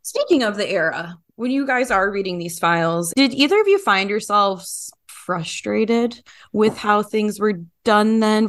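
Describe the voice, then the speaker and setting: A woman's voice: mid-range voice, a bit bright, no room sound, studio quality. A woman hosting a podcast interview, asking questions in an informal, conversational way. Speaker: podcast host interviewing journalists. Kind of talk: casual interview.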